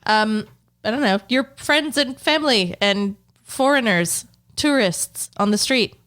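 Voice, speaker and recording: A woman, about thirty, co-hosting a comedy podcast with dry, deadpan teasing in casual chat. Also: fairly high pitch, measured pace. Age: 30s. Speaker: podcast co-host.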